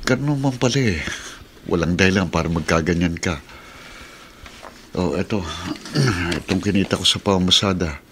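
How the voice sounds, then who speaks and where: A man's voice: deep voice, moderate pace, long pauses, noisy background, close to an everyday voice. A man acting in a radio drama as a humble, weary driver and family man, plain-spoken and concerned. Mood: apologetic, reassuring. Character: weary, humble working-class husband and father, a driver.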